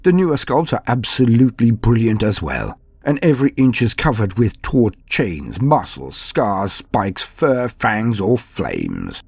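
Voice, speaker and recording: A low-voiced man narrates fantasy lore with theatrical weight and formal diction. His voice is gravelly and swings widely in pitch, giving the scripted delivery a portentous, dramatic shape. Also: sing-song, unhurried, hardly any pauses, darkish tone, no room sound. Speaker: host of a fantasy lore channel.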